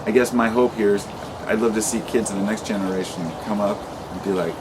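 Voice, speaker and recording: A man in his late thirties speaks in a deep, low voice, rambling and impassioned as he vents in an unscripted vlog. He swears freely and often restarts his sentences. Steady traffic noise runs clearly behind him. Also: fairly quick. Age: 30s. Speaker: vlogger. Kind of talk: unscripted monologue to camera.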